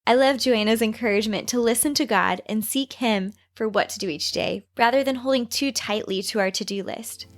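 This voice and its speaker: Upbeat podcast host lady, highish voice, little bit scratchy, chatty. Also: fairly quick, hardly any pauses. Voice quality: slightly scratchy voice. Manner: enthusiastic, gushing. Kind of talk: casual friendly interview chat.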